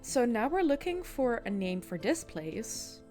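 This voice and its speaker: A woman's voice: a little high, very animated, unhurried, dark tone, soft background music. A young woman with a Dutch accent, streaming a game and chatting casually with her viewers, often restarting sentences. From Netherlands. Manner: chatty, answers chat, frequent laughs and restarts. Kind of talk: casual chat with viewers while gaming.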